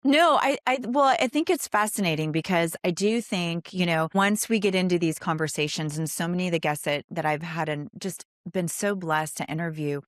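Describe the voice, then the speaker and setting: Female podcast host, low register, effusive delivery, dry recording. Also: normal speed, hardly any pauses, dry recording. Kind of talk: scripted podcast introduction and interview.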